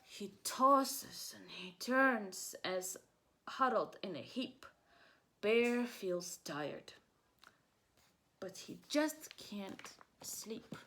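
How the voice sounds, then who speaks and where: A woman reads a children's picture book as a preschool teacher would. She takes it very slowly at a medium pitch, asking simple questions and encouraging her young listeners. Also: lively intonation, bright, forceful tone. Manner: encouraging, asks questions and pauses for answers.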